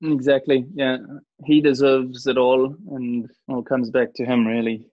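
A man's voice: medium pitch, level tone, measured pace, dry recording, lo-fi sound. A young man from Switzerland with a New Zealand-influenced accent, chatting earnestly and casually as an interview guest. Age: young adult. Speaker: podcast guest, church worship singer.

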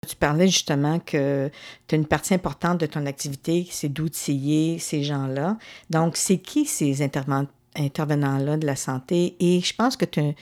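A woman's voice: sits low, moderate pace, darkish tone. A woman interviewing a guest in a casual, informal way with fillers. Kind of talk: interview questions.

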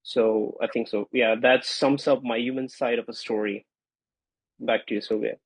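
A man in his twenties whose first language is Gujarati, a podcast guest giving earnest, grateful answers with frequent pauses and repeats. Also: mid-range voice, fairly even intonation, husky, no room sound. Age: 20s. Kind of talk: conversational interview answers.

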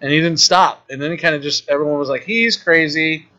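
Guy with a bright sharp voice, kinda high, rants and swears a lot, muffled lo-fi sound. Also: fairly quick. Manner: animated storytelling, heavy swearing. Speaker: filmmaker guest. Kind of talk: casual podcast chat.

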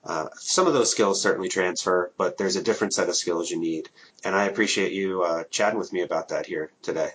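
Host: relaxed man with a low, husky voice, hesitant delivery. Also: brisk, muffled recording. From Minnesota. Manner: relaxed, hesitant, many ums. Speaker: podcast host. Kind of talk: casual interview. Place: home.

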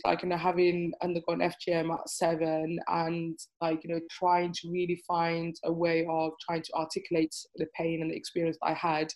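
A woman's voice: on the low side, a little mellow, rough recording. A woman in her thirties with a British accent, talking candidly as an interview guest in informal, looping sentences. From born in Somaliland, grew up in Cardiff and Manchester, UK. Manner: talks in informal, looping sentences with frequent 'like, you know'. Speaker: podcast guest, activist.

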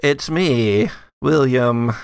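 Sinister welcoming presence for horror roleplay, done by a man, gravelly and unhurried. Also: mid-range voice, no room sound, studio quality. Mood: eerie welcome. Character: dark entity calling itself William, eerily welcoming.

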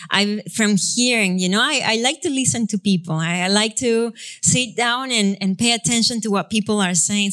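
A young adult woman pastor from Argentina with an Argentine Spanish accent, Spanish her first language, leading prayer and then preaching in a chatty, self-deprecating way with frequent laughs. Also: medium pitch. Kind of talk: sermon with congregational prayer. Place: church sanctuary.

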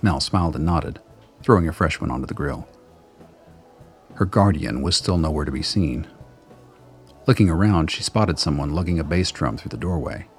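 A man's voice: very deep voice, expressive, normal speed, long pauses, soft background music. A man reading scripted third-person narration for a radio play.

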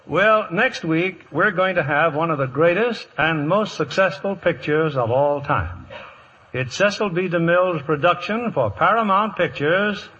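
A man hosts a vintage radio theater show in scripted 1950s style. His voice is bright and forceful with a raspy grain, pitched a bit high, on a dull old broadcast recording. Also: lively intonation, unhurried. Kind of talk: scripted 1950s radio curtain-call banter.

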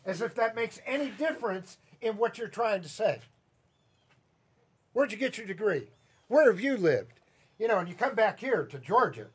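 A man's voice: high voice, sing-song, normal speed, husky. A man from Chicago with a Chicago accent, preaching a sermon as pastor in a conversational, teasing way and asking the congregation questions. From Chicago, Midwest US. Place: church sanctuary.

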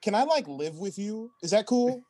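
A man's voice: high-pitched, lively intonation, normal speed, mellow. A man playing a broke, pushy stenographer in an improvised comedy sketch, rambling and pleading obliviously. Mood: sheepish pleading. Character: broke, pushy male stenographer seeking a roommate.

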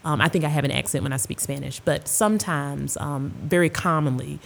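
A woman with a notably low, deep voice talks through her experiences at an unhurried pace. She is a middle-aged American academic, earnest and measured, with the odd soft laugh. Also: fairly even intonation, creaky. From United States. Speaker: Spanish professor and researcher. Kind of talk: panel discussion, thoughtful storytelling.

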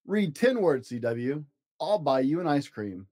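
A man's voice: a little high, big pitch swings, measured pace, dark tone. A man voicing a confident, teasing young big brother in a storybook, challenging and playfully bossy.

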